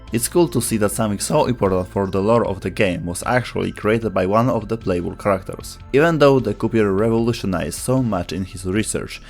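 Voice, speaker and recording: A man reading scripted explainer narration as the narrator of a video. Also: on the low side. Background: background music.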